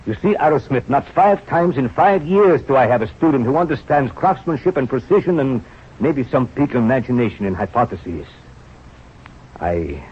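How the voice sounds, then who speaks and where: Strict older professor, raspy, pedantic and clipped, old muffled radio play sound. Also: male voice, medium pitch. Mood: earnest. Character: exacting, stern older male science professor. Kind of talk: 1940s radio drama acting. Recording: dull, lo-fi old radio recording.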